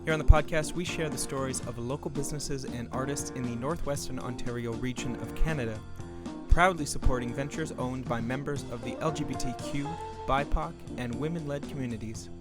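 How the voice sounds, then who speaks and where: A man's voice: mid-range voice, a little fast, over loud music. A man hosting a podcast, interviewing a guest in a friendly way and laughing easily. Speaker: podcast host.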